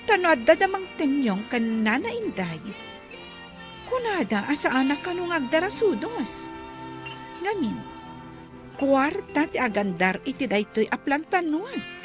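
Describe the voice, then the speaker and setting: High-pitched, worried resort madam. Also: female voice, big pitch swings, measured pace. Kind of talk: Ilocano radio drama acting. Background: music under the voice.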